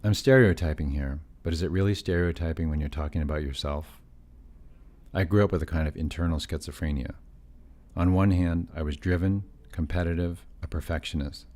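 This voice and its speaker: A man's voice: sits low, fairly quick, a little mellow. A middle-aged man from California narrating his own family story in scripted documentary style, with wry, self-deprecating asides.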